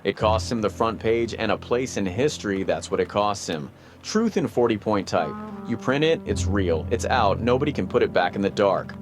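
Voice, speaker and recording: A man's voice: lowish voice, animated, moderate pace, background noise, lightly acted. A man playing an eager, idealistic young newspaperman, urgent and punchy, in a noir radio drama.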